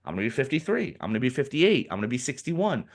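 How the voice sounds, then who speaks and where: A man in his forties, a financial advisor being interviewed on a podcast, who talks in a lively way and acts out what other people might say. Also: medium pitch, fast talker, vocal fry. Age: 40s. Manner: animated, acts out quoted people in put-on voices.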